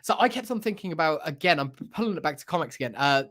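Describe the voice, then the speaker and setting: A man with a slightly high voice talks in a casual podcast discussion. He hosts in a hesitant way, working out his thoughts aloud as he speaks. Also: animated, fast talker, fairly bright tone. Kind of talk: casual pop-culture discussion.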